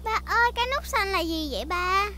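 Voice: very high-pitched, expressive, a little fast, slight background noise, full character voice. A performer voicing a cheerful, eager little girl piglet with a childlike manner in a dubbed children's cartoon. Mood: excited. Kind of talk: dubbed preschool cartoon acting. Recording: loud music behind the voice.

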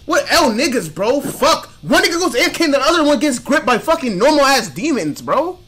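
A man's voice: very high-pitched, sing-song, brisk, fairly bright tone. A young man chatting casually over a game, exasperated and swearing heavily. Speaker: gaming video creator. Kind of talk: casual gaming voice chat. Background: background music.

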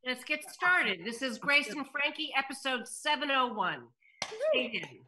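A woman's voice: sits high, very animated, measured pace, noisy background. A woman on a video call announcing and reading scripted stage directions as narration. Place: indoors at home on a video call. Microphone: video call microphone.

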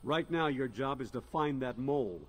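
A man's voice: medium pitch, animated, moderate pace, close to an everyday voice. Man playing a blunt, stern military commander. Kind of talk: video game dialogue.

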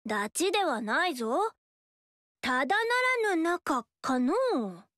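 A woman's voice: high-pitched, very animated, a little slow, a bit bright, no room sound, clean studio sound, heavily acted. A woman playing a playful, curious young noble girl with old-fashioned, princess-like speech in a game. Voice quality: archaic princess-like speech, childlike inquisitive. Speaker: game character. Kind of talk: game story dialogue.

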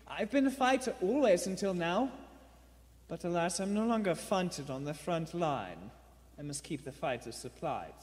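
A man's voice: high-pitched, expressive, normal speed, darkish tone. A man playing a kindly, sly old shopkeeper with courteous, wordy speech in a live radio play. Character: kindly old bird-shop keeper, secretly a bomb maker. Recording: echoey theater hall.

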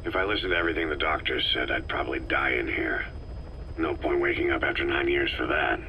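A man acting a terse, gruff, war-weary middle-aged mercenary commander in a video game, wry and low-key. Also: brisk, lo-fi sound, a little hiss or hum.

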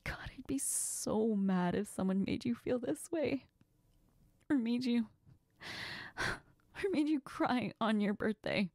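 A woman playing a heartbroken, self-doubting young best friend in an intimate audio role-play, tearful and sniffling, speaking haltingly in a near whisper. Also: on the high side, a little mellow, dry recording, clean studio sound. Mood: tearful, affectionate.

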